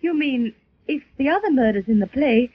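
Refined curious old radio lady, high husky voice, muffled recording. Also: animated, normal speed. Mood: inquisitive. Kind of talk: old-time radio drama acting.

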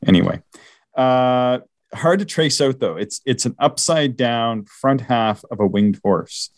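A man's voice: mid-range voice, animated, unhurried, no room sound, studio quality. A man co-hosting a casual astronomy podcast, rambling with many fillers and restarts. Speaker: podcast co-host.

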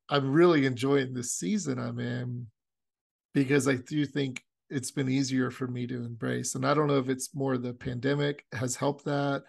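Earnest host with a heavily creaky voice. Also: male voice, medium pitch. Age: 40s. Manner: earnest, musing, soft affirming murmurs. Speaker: podcast host. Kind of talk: conversational interview.

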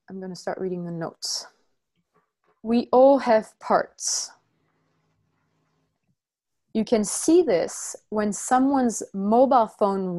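Woman, from France, workshop facilitator, halting, frequent restarts, explaining slowly. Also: mid-range voice, darkish tone. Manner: halting, many restarts and self-corrections. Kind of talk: teaching a group, reading from notes.

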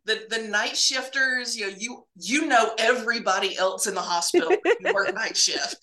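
A woman telling casual, humorous stories as a podcast guest, animated and ready to laugh. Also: a little high, moderate pace, reverberant, poor audio. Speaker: guest, medical laboratory professional.

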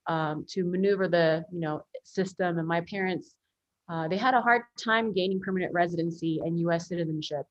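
A woman's voice: lowish voice, fairly quick, lo-fi sound. A woman attorney from the United States, a guest on a podcast, telling her story earnestly with many ums and you-knows. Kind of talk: podcast interview.

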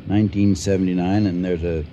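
Older married man, radio drama, played by a male actor. Low, very mellow voice; dry, deliberate, amateur sleuth. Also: steady tone, close to an everyday voice. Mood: dry, pondering. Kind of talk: 1980 radio drama acting. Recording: muffled radio recording, faint noise.

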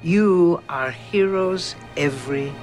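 An actress playing a humble elderly Austrian-born woman, speaking slowly and gently with an Austrian accent in a film clip. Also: fairly low pitch. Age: older. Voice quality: slow, gentle, measured. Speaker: film character in a played clip. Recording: film clip with faint music.

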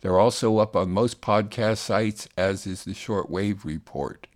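Low-voiced male radio show host. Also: unhurried. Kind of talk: scripted announcing between news segments.

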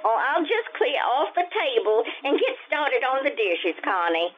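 Woman playing a swooping, high-voiced older landlady. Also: sing-song, measured pace. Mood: homely, flustered. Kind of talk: old-time radio comedy acting. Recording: tinny, humming, noisy radio-style sound.

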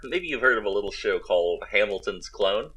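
A man playing a gruff-voiced space pirate. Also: fairly low pitch, lively intonation, brisk, pushed and bright, rough recording. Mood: chatty, candid. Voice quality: gruff fake pirate growl. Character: male space pirate, really a jobbing actor.

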